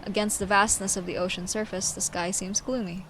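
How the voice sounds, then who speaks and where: A woman's voice: mid-range voice, flat delivery, a little fast, a bit bright, a little hiss or hum, lightly acted, rough recording. Woman as serious game story narrator. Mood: serious, descriptive. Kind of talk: scripted narration read aloud.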